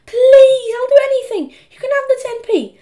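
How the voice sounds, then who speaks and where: A woman voicing an anxious, pleading little boy in a storybook, with a squeaky voice. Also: very animated, moderate pace, dark tone.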